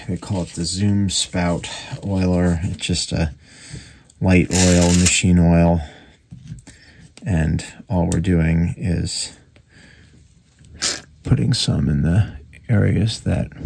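A man giving casual hands-on repair commentary, halting and thinking aloud as he works at an indoor bench. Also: sits low, gravelly, darkish tone. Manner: unscripted, halting, thinking aloud while working. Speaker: radio repairer demonstrating his work. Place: indoor workbench. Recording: noisy workshop recording with clicks.

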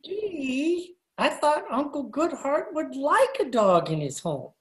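Meek, fussy older gentleman male actor, high thin register, easily flustered, for old-time radio comedy. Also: very animated, takes it slowly, full character voice. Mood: earnest. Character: timid, prissy, mild-mannered male radio director. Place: living room by staircase, video call. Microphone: video call.

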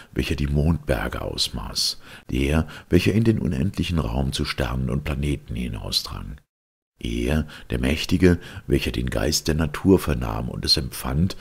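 A man narrating a literary story with solemn, theatrical weight and a gravelly, husky voice. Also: extremely low pitch. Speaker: audiobook narrator.

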